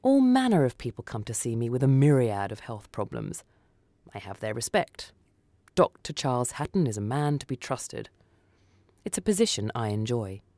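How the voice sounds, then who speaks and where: A woman's voice: very deep voice, mellow, no echo. A woman reading a first-person short story for radio as scripted narration. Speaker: story reader.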